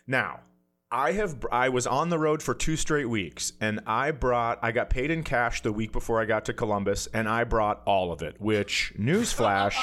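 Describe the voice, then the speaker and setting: A man with a mid-range voice tells a funny personal story in casual, conversational language. He pokes fun at himself and slips into quick acted-out quotes along the way. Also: medium pitch. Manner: self-deprecating, animated anecdote telling with acted-out quotes. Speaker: comedian podcast guest telling a story.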